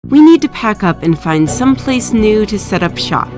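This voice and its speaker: A woman's voice: medium pitch, big pitch swings, moderate pace, natural voice. Actress as a sardonic villain boss woman, music behind. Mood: brisk, commanding. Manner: sardonic, commanding. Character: bossy sardonic female villain leader.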